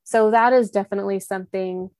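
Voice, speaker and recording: A woman's voice: medium pitch, fairly even intonation, fast, darkish tone, dry recording. A young woman speaking candidly in an interview, earnest and reflective, with lots of "like" and restarts. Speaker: podcast host and guest.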